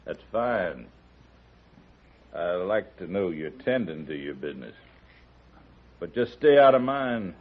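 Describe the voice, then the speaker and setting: A touchy western villain, a hired gun with a low voice and a curt, threatening way of talking. The old radio drama recording is narrow, muffled and clearly noisy. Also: male voice, big pitch swings, slow, pauses often, heavily acted. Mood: surly, warning. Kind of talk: 1950s radio western acting.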